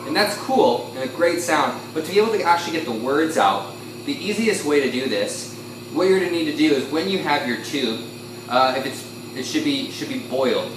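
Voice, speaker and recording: A man explaining a musical technique informally as an instructor, pausing and correcting himself as he goes. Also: fairly high pitch, expressive, recorded from a distance. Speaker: instructor demonstrating a talk box. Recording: echoey room, off-mic, some noise.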